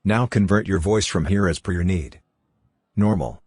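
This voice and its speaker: A man's voice: sits low, normal speed, husky, no room sound. A man narrating a step-by-step tutorial as presenter, in an instructional way with non-native English phrasing.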